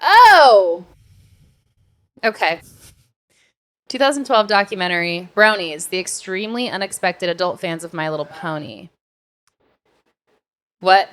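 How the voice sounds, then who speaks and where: An American woman talks as a podcast co-host in a loose, conversational style. Her delivery is informal and animated, with a mid-range voice and some casual swearing. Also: mid-range voice, unhurried, pauses often. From United States.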